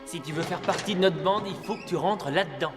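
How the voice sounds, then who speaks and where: A woman voicing a mocking schoolkid gang leader in an animated film, with music behind. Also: lowish voice, brisk, in a large hall, close to an everyday voice.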